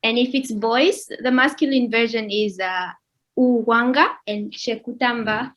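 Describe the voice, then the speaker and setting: A young adult woman from Namibia, a guest in a casual interview who explains things with pauses. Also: a little high, dull audio. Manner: relaxed, explains with pauses and laughs.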